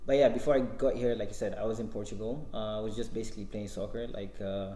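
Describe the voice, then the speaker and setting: Mellow-voiced young man hosting a chat. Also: fairly low pitch, fast. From Guinea. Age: 20s. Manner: relaxed, hesitant with many fillers. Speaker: host. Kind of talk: casual podcast chat. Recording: echoey room with faint noise.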